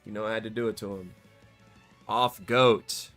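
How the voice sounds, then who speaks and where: A male streamer chatting casually and excitably on a live stream, his voice a bit scratchy from cheering, in a warm home room. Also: on the low side, moderate pace, soft background music. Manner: excitable, slangy, rambling.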